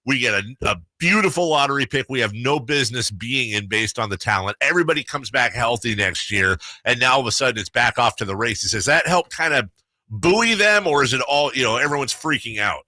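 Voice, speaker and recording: A man's voice: medium pitch, expressive, a little fast, pushed and bright, no echo. A man hosting a sports radio show, asking long, rambling questions in a casual interview style.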